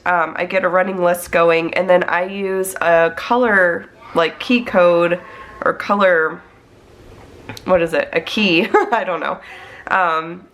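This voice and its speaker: A woman's voice: lowish voice, a bit bright. Stuffed-up woman chatting about her budget. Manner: chatty, talks through her steps. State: congested and stuffed up from a cold. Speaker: budget planning vlogger. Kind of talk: casual chat while planning on a tablet.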